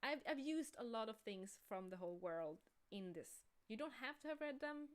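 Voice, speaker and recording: Higher-voiced Swedish-accented woman, chatting casually. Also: lively intonation, moderate pace. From Sweden. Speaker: game creator hosting a livestream. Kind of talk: casual livestream chat.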